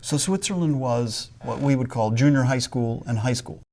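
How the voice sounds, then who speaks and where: A man's voice: mid-range voice, moderate pace, darkish tone. Curious male interviewer. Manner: curious, prompting follow-up questions. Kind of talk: interview questions.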